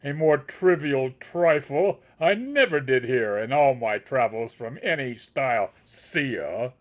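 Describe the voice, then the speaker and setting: A man voicing an obsessive male seeker of truth who shouts a scornful tirade, on a dull lo-fi recording. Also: medium pitch, lively intonation, unhurried, lightly acted. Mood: furious.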